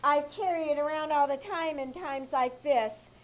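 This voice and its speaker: A woman playing a stubborn, sassy housemaid in a live reenactment of an old-time radio comedy, with a deadpan delivery. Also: high voice, normal speed, slight background noise, close to an everyday voice. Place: small theater stage.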